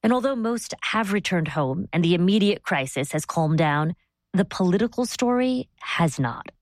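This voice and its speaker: A woman hosting a news program, narrating in a clear, conversational storytelling style. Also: on the low side, animated, moderate pace, dry recording, clean studio sound. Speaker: program host. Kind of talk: scripted radio narration.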